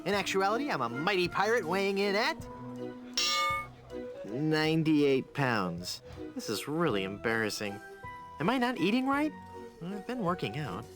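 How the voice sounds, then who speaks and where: An actor playing a naive, cocky twenty-year-old wannabe pirate in an adventure game, boyish, earnest and wry. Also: male voice, a little high, very animated, normal speed, pauses often, over loud music. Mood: embarrassed.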